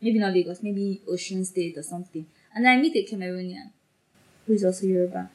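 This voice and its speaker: A young woman from Nigeria in casual chat as a podcast co-host, animated and emphatic, often laughing. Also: lowish voice, a little fast, rough recording.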